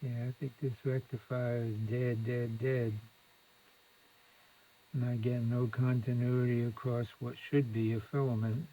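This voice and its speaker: A man's voice: medium pitch, flat delivery, a little slow, warm tone, slight background noise, poor audio. A man giving unscripted hands-on repair commentary, muttering and thinking aloud in short fragments as he works. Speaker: electronics repair hobbyist, presenter.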